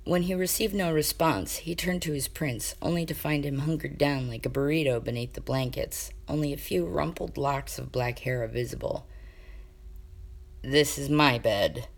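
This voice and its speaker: A woman reading a scripted story aloud as an expressive narrator. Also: low-pitched, normal speed, gravelly, hardly any pauses. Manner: expressive, acts out dialogue. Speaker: amateur audiobook reader of fan fiction.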